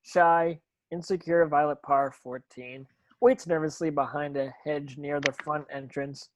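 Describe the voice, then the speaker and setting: A woman's voice: low voice, steady tone, a little slow, slight background noise. A woman reading script stage directions as narration, with occasional stumbles over the words.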